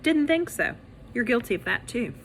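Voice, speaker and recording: A woman's voice: fairly high pitch, sing-song, moderate pace, vocal fry, slight background noise, voice pushed up. A woman voicing a stern, sarcastic female third-grade teacher in a storybook, scolding in clipped phrases.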